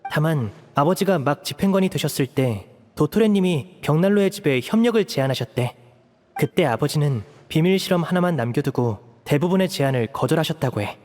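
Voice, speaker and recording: Man playing a composed, higher-pitched young leader. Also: in a large hall, lightly acted. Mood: calm, reassuring. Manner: calm, measured, explanatory. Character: composed, polite young man, dutiful leader of his siblings. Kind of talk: video game voice acting.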